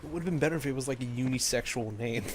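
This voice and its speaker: A man's voice: medium pitch, mellow, slight background noise. A man chatting casually as a co-host, riffing on a story, repeating himself and often laughing. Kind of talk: casual joking chat.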